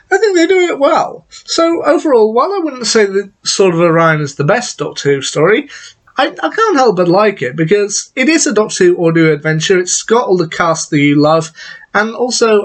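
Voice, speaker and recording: A man giving a casual, enthusiastic spoken review of an audio drama, chatting with restarts and asides. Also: a little high.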